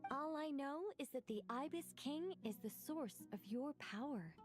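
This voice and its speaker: An actress playing a wise, composed female angel in a video game, speaking in formal, measured, articulate phrases. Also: high-pitched, soft background music, natural voice. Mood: composed, persuasive. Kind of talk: scripted video game voice acting.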